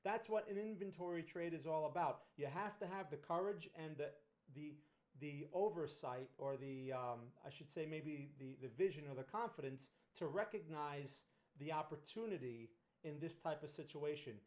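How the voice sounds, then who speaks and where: A middle-aged man with a New Jersey accent, hosting a live market analysis stream. He talks casually, with a voice pitched a little above the usual for men. He restarts phrases and tags his points with "right?". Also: normal speed, a little mellow. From Northern New Jersey. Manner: conversational, frequent "right?" tag questions and restarts. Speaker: trading livestream host.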